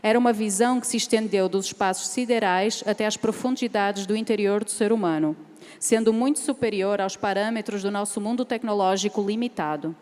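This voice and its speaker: A woman from Portugal, speaking on a seminar panel and reading a passage aloud in a measured, careful way. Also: medium pitch, darkish tone. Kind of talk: seminar talk with a read passage. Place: large event hall. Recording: reverberant hall.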